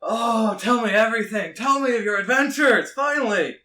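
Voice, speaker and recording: A man's voice: high voice, moderate pace, sharp tone, voice pushed up. A man voicing a big scruffy doting father in a tabletop game, gushing and fussing as he repeats himself. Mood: excited fussing. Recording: roomy, slightly distant mic.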